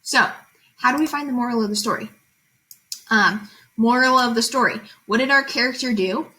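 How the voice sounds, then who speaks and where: A woman's voice: fairly high pitch, pushed and bright. A woman reading fables aloud and explaining them as a teacher, in an informal, conversational way with guiding questions.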